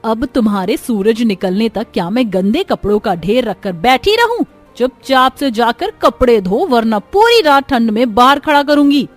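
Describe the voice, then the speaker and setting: A woman puts on a cruel aunt from a cartoon. Her voice is fairly high, with music underneath. She scolds, orders and threatens. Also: very animated, moderate pace, few pauses. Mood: angry, threatening. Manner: sharp-tongued, bossy, threatening. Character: cruel, scolding aunt bullying orphaned children. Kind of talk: Hindi cartoon villain acting.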